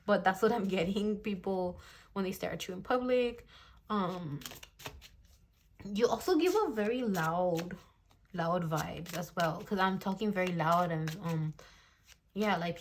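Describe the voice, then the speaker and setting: A woman's voice: medium pitch, slight background noise. A woman in her twenties giving a casual tarot reading to camera, chatty and blunt, swearing freely and laughing often, recorded on a phone. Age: 20s. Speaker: tarot reader.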